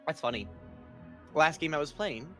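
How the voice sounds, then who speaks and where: A woman's voice: low voice, moderate pace, sharp tone, faint music behind. A woman VTuber host chatting casually on a game stream, scattered and full of ums. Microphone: streaming microphone.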